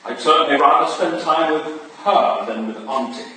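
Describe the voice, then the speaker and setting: A man playing a teasing, idle gentleman and charming flirt, wry and playful, in a staged reading of a period drama. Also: fairly high pitch, moderate pace. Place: staged reading in a large echoey room. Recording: echoey hall from far off, faint noise.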